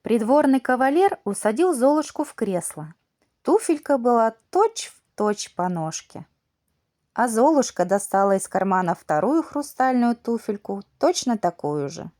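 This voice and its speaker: A woman narrating a children's fairy tale as an audiobook, in an expressive, measured bedtime-story manner. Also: fairly high pitch, no room sound, clean studio sound.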